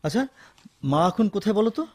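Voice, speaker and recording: A man playing a gentle, dutiful son and indulgent father in a Bengali audio drama, soft-hearted and respectful, with a Bangladeshi accent. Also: high-pitched, lively intonation, fast talker, no room sound. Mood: affectionate, easygoing.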